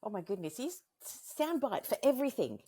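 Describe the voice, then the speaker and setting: A woman's voice: fairly high pitch, big pitch swings, fairly quick, mellow, slightly rough, no room sound. A woman hosting a conversational interview in an encouraging, effusive manner. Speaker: interview host.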